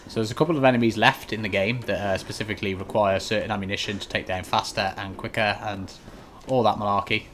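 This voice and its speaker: Lowish-voiced man giving casual game commentary. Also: steady tone, fast, fairly bright tone, slight background noise. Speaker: gaming channel host.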